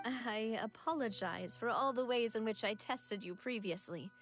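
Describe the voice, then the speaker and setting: A woman's voice: on the high side, moderate pace, a bit bright, faint music behind, natural voice. A woman playing an earnest, dignified young leader, speaking politely and sincerely in a video game. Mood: polite, grateful.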